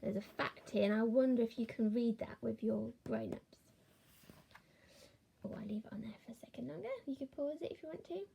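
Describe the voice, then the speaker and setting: Teacher lady reading a kids book out loud, gentle, whispery asides, gravelly voice. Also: a little high, brisk, a little hiss or hum, rough recording. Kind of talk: reading a picture book aloud to children.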